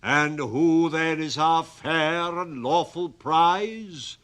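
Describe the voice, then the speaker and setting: A man voicing a stern, mocking goblin in a storybook reading, loud, slow and menacing. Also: mid-range voice, expressive.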